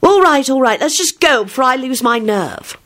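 Lady doing a worried stubborn storybook girl, insistent, high and swoopy. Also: animated, normal speed, a bit bright. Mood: anxious. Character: anxious, stubborn girl who dithers yet acts defiant. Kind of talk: dramatized storybook reading.

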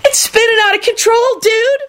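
A lovesick slacker dude wails in a high, strained voice. He hollers melodramatically and stretches out his words, a goofy storybook character. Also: steady tone, normal speed, a bit bright. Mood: lovesick screeching.

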